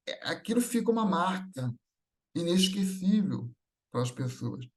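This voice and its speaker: A man's voice: a little high, darkish tone. A man with a Brazilian accent giving a conversational, explanatory religious talk, informal and often checking in with rhetorical questions. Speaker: speaker at a Spiritist gathering.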